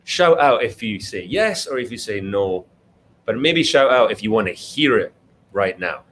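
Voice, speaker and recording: A man's voice: lowish voice, expressive, moderate pace, a bit bright, a little hiss or hum. A man reading a children's book aloud as its author, expressively, with simple explanatory asides to young listeners. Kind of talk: read-aloud storytelling for children, interactive.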